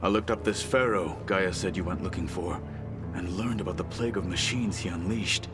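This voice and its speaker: An actor playing a solemn, proud, honour-bound warrior in a video game, speaking formally and deliberately with stoic reserve. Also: male voice, sits low, lightly acted. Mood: grave. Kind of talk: video game dialogue acting. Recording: prominent music behind the voice.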